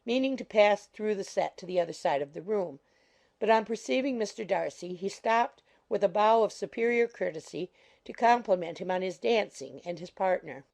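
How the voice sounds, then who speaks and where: A woman's voice: medium pitch, lively intonation, moderate pace, gravelly, darkish tone. A woman narrating a classic novel in a scripted, literary storytelling style. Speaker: audiobook narrator.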